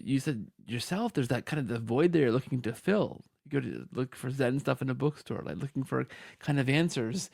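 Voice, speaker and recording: A man's voice: medium pitch, gravelly, dry recording, clean studio sound. A man hosting a podcast in a casual, conversational way, rambling and repeating phrases with enthusiasm. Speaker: podcast host.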